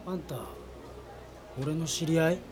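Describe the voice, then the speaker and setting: A man's voice: a little high, fairly even intonation, measured pace, darkish tone, a little hiss or hum, lightly acted. A man playing a confused, surly eighteen-year-old student in a radio drama, blunt and slangy. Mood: bewildered.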